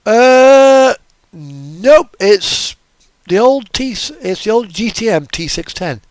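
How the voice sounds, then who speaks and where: Man, gaming host, unhurried pace, animated intonation, casual rambling commentary, thinking out loud. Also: high voice, no echo. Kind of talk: casual unscripted driving game commentary.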